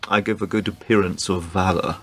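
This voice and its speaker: An actor playing a pompous, theatrical upper-class husband and war veteran in a 1920s radio play. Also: male voice, low-pitched, steady tone, fairly quick, fairly bright tone, slightly rough. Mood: wounded, stiff.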